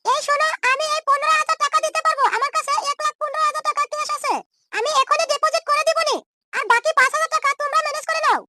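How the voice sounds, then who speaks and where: A man voicing a generous, no-nonsense woman in an amateur comedy cartoon, speaking matter-of-factly through a chipmunk-like pitch effect. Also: steady tone, racing, very noisy. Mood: practical, helpful. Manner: matter-of-fact, brushing off thanks.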